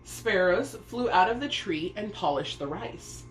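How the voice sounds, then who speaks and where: A meek young storybook girl, voiced by a woman, speaks humbly and politely. Her pleading delivery moves expressively in pitch, with audible room echo. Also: animated, measured pace, faint music behind, close to an everyday voice. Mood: innocent.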